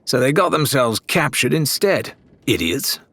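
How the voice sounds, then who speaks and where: A man's voice: mid-range voice, very animated, measured pace, gravelly, bright, forceful tone, dry recording, voice pushed up, full character voice. A man voicing a sceptical, scornful, greedy pirate in an audiobook, with sarcastic delivery. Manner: sarcastic, sneering.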